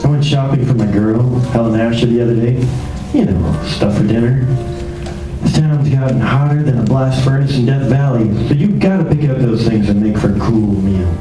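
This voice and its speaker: A man's voice: mid-range voice, normal speed, close to an everyday voice. A man playing a hard-boiled, wisecracking private detective, narrating in breezy slang in an old-style radio show. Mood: breezy, griping. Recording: echoey, distant recording with music.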